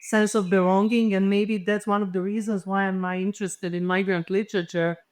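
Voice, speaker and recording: A woman's voice: medium pitch, flat delivery, fairly quick. Hesitant woman panelist, native Serbo-Croatian speaker. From former Yugoslavia. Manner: hesitant, thinking aloud with many fillers. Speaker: panelist and literature researcher. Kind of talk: reflective panel discussion answer.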